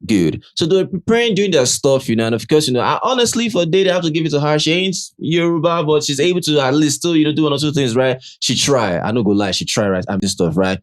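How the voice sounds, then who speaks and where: A man's voice: on the high side, lively intonation, fast talker, hardly any pauses. A Nigerian man hosting a casual commentary show, chatty, ending nearly every phrase with "right?" and slipping into Pidgin and Yoruba. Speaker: host.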